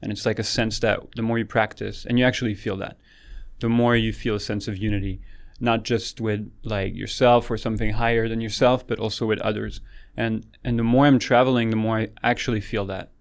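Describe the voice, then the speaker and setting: Calm soft-spoken man, light French accent. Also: lowish voice, fairly quick, gravelly. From France. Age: late 20s. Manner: calm, soft-spoken, frequent restarts and laughs. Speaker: yoga teacher and doctor. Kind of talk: casual interview chat.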